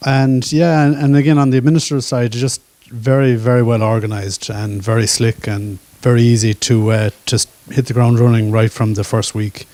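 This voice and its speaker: A man, a current master's student, speaking on a panel in a relaxed, hesitant way with many fillers. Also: medium pitch, normal speed, hardly any pauses, no echo. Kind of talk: panel testimonial. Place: university event hall.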